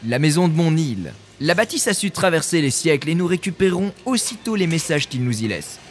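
A man's voice: a little high, very animated, brisk. Pompous tycoon-scientist, played by a man, faint music behind. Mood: smugly defensive. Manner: pompous, theatrical. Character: self-assured eccentric tycoon-scientist, grandiose and evasive. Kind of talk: comedic radio science-fiction acting.

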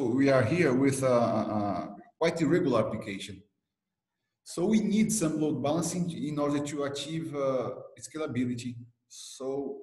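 A man giving a technical slide presentation as a researcher, haltingly, often repeating and restarting his words. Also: mid-range voice, darkish tone, reverberant, rough recording.

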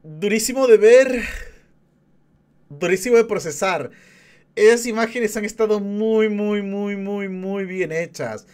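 Casting: male reaction streamer, distinctly high-pitched voice, casual off-the-cuff commentary. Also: slow pace, a little mellow, clear, resonant tone.

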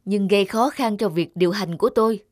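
A man's voice: sits high, fairly quick, close to an everyday voice. A man voicing a flustered, stern but helpless male manager creature in a cartoon. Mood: stern, exasperated. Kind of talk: cartoon dialogue.

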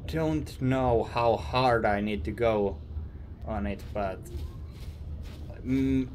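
A man's voice: mid-range voice, darkish tone, a little hiss or hum. A young man from Finland with a Finnish accent, musing casually while playing a game for his stream viewers. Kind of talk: casual gameplay commentary. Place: small room indoors.

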